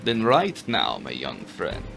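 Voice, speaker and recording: A man voicing an old vampire count in an audiobook, speaking slowly and formally with courteous menace and dramatic pauses. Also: low voice, huge pitch swings, creaky, music in the background, pitched below the performer's own voice, full character voice. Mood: commanding, smoothly insistent. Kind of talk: dramatic audiobook character reading.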